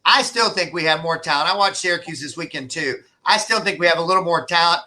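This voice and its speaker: A man's voice: fairly high pitch, fast, sharp tone. A man co-hosting a sports show, giving his picks in casual talk. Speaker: co-host, sports betting pundit.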